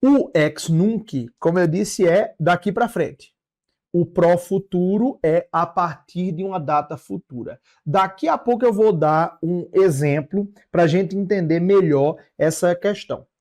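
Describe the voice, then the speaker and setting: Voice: mid-range voice, a little slow, darkish tone. A Brazilian law teacher giving an explanatory lecture, didactic, repeating and rephrasing key phrases with short check-in tags.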